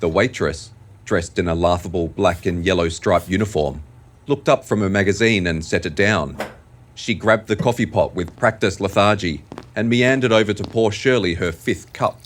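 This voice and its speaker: A man narrating an audio drama in a measured, deliberate, scripted storytelling style. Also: low-pitched, slight background noise.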